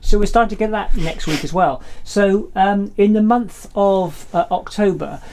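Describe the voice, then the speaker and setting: A man's voice: high voice, normal speed, darkish tone. Middle-aged English man chatting over noisy background. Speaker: charity show presenter. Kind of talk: casual chat on a charity vlog. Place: small cluttered storeroom office, indoors. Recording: clear background noise.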